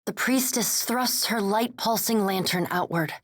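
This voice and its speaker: A woman reading game narration in a measured, descriptive manner. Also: mid-range voice, no room sound, lightly acted. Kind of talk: scripted narration. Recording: dry studio recording.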